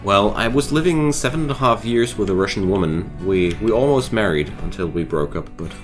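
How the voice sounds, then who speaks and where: Low-voiced man chatting on a game stream. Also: animated, brisk, soft background music. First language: not English. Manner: rambling and chatty, restarts thoughts. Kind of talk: casual chat with viewers while gaming.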